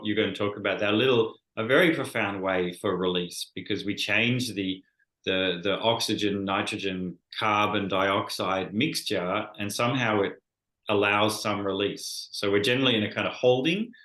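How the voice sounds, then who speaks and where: Male guest, low voice, measured and reflective, echoey room. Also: a bit bright. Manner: thoughtful, measured, with restarts. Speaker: guest, bodywork practitioner. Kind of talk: conversational interview.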